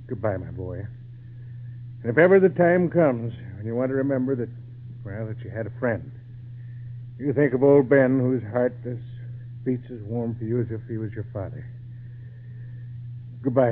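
A man's voice: mid-range voice, normal speed, long pauses, close to an everyday voice. A man playing a kindly, fatherly old circus man, loyal and protective, in an old-time radio drama. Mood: tender, solemn. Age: older. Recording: tinny, hissy 1940s radio recording.

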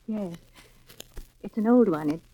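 A woman's voice: mid-range voice, expressive, takes it slowly. A woman playing an anxious, evasive wife in a mid-century radio drama, guarded and placating in manner. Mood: guarded, uneasy. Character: anxious evasive wife secretly fascinated by fire. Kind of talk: 1940s-50s radio drama acting. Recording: muffled dry vintage radio recording.

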